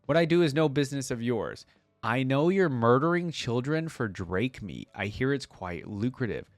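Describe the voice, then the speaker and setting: A man voicing a cold, disdainful female serpent monster in a storybook read-aloud, measured and menacing. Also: medium pitch, expressive, no echo, close to an everyday voice. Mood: cold accusation.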